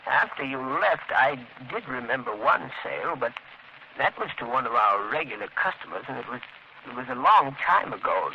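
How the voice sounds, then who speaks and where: An actor in a 1930s radio drama playing an obliging music shop clerk, talkative, hesitant and deferential. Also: male voice, medium pitch, big pitch swings, moderate pace, creaky. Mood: chatty, confiding. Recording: muffled 1930s radio recording, faint noise.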